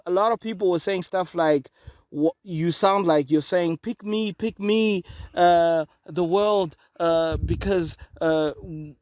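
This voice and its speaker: A man's voice: high voice, no echo. A man from Zimbabwe co-hosting a casual comedic talk show outdoors, chatty and given to riffs. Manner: chatty, laughing, goes on riffs. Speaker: podcast co-host. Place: outdoors in open dry field.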